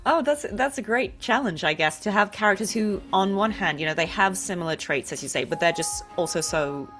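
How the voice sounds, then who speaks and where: A woman's voice: medium pitch, animated, fairly quick, a bit bright, soft background music. A woman hosting a conversational interview, reflective and thinking aloud through long questions. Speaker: interview host and fellow voice actor.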